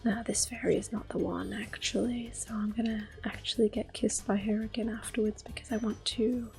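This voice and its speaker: A woman speaking in a soft, breathy near-whisper, giving gentle, unhurried ASMR commentary on a video game. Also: on the high side, fairly even intonation, soft background music. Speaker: gameplay video host.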